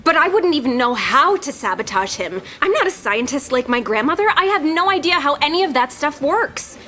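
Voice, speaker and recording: Earnest, higher-voiced anxious young woman. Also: lively intonation, fairly quick, sharp tone, faint music behind. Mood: defensive, panicked. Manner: earnest, emotional, defensive. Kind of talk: scripted audio drama dialogue.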